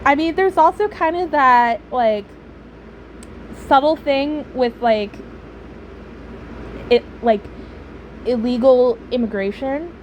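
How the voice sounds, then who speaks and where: Woman doing casual game commentary, rambly and halting, takes her time, bit of noise behind. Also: high voice, low-quality recording. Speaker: streamer reacting to a game story.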